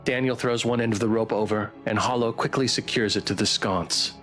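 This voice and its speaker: A man's voice: fairly low pitch, fairly even intonation, a little fast, fairly bright tone. A man reading a visual novel aloud as dramatic scripted narration. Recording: music behind.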